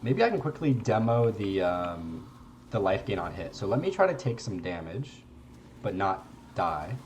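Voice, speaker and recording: A young man with a congested voice, giving relaxed, rambling gameplay commentary and swearing casually. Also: deep voice, normal speed, slight background noise. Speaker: game streamer.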